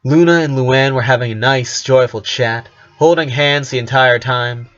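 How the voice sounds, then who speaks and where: A man narrating fan fiction aloud, expressive, occasionally stumbling over a word and correcting himself. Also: medium pitch, moderate pace. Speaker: story narrator. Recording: slightly dull, lo-fi microphone.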